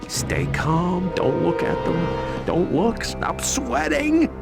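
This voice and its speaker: An actor playing a nervous, easily exasperated wisecracking man in a video game, expressive and sardonic. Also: high voice, slow pace, creaky, over loud music, heavily acted. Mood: anxious muttering. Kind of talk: video game cutscene acting.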